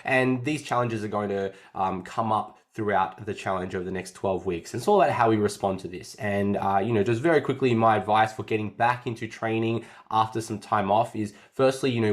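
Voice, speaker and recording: A man hosts a casual fitness podcast in an upbeat, chatty way. His voice sits a bit low and still sounds slightly nasal after an illness, and he tends to repeat his phrases. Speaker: fitness coach and podcast host.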